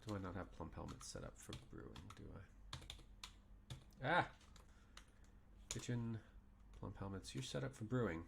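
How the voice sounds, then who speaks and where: A man in his thirties talks through a strategy game on stream, half muttering to himself as he works out problems. His voice sits low with a gravelly fry. A faint hiss sits under the desk mic. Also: animated, unhurried, pauses often. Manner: thinking aloud, puzzled muttering to himself. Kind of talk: casual gameplay commentary. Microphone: desk mic with webcam.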